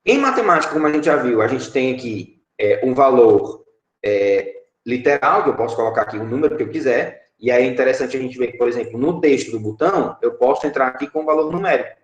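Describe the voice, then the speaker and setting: A man in his thirties with a Brazilian Portuguese accent, a teacher explaining app-building blocks in an online class, often pausing with fillers and checking in with his students. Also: mid-range voice, animated, highs cut off. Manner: explanatory, frequent filler "é" and tag "certo?". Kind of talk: online class screen-share tutorial. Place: home room, indoors. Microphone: webcam video call. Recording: echoey room.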